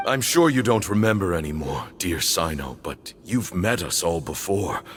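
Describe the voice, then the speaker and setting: An actor playing a solemn male temple elder in a video game, speaking in grave, measured declamations. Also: deep voice, lively intonation, faint music behind. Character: solemn male cult elder, proud and zealous. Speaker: temple leader.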